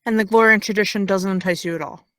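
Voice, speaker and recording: A young actress playing a blunt, sarcastic high school girl with no filter. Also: fairly even intonation, racing, dry recording, clean studio sound, close to an everyday voice. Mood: teasing. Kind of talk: audio drama.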